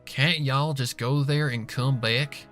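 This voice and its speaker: A man's voice: mid-range voice, fairly even intonation, slow pace, a bit bright, faint music behind. Guy doing a down-home farm mare in a cartoon fanfic, plain talk, bit grumbly. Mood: impatient. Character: plain-spoken, folksy female farm pony.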